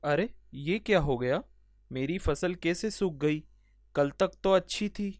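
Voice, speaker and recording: Man acting an earnest, higher-voiced poor farmer husband. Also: slow pace, dry recording, clean studio sound. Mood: dismayed. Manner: earnest, gently chiding. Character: patient, hardworking, weary poor farmer husband in a cartoon.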